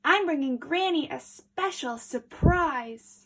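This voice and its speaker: A woman's voice: high voice, lively intonation, slow pace, voice pushed up, heavily acted. A woman voicing a sweet, trusting little girl from a storybook, speaking in careful, exclaiming phrases. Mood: cheerful.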